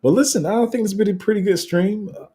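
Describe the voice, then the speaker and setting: A man with a deep, rumbling voice hosting a casual livestream, reading out viewer comments and rambling along with sudden excited jumps in pitch and a slight cough. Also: quick pace. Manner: rambling and animated, voice jumping up when excited. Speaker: crypto livestream host.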